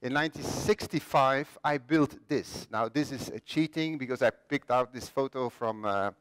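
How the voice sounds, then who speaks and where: A man's voice: mid-range voice, normal speed, fairly bright tone, clear, resonant tone. A man in his late fifties from Belgium, telling the story of his own life as a conference speaker, joking with the audience and poking fun at himself. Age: 50s. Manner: self-deprecating, jokey with the audience. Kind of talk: personal storytelling talk. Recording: long reverb of a large hall.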